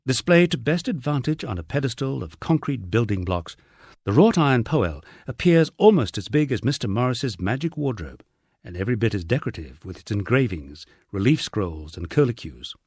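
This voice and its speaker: A man's voice: medium pitch, sing-song, normal speed, darkish tone, breathy edge, no echo, clean studio sound. A man reading a first-person memoir as an audiobook, in a wryly understated storytelling manner. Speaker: audiobook narrator.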